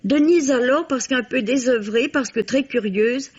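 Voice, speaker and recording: A woman's voice: high voice, a little slow, husky. A woman reading a scripted book review for 1950s French radio, with a literary storytelling delivery. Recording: dull vintage radio recording.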